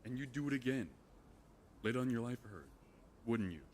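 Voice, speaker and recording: Guy playing solemn commanding soldier buddy in audio drama, medium pitch, slight background noise. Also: moderate pace, darkish tone, natural voice. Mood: steady, knowing. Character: steady, commanding male Titan comrade, solemn and probing. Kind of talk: fan audio drama acting.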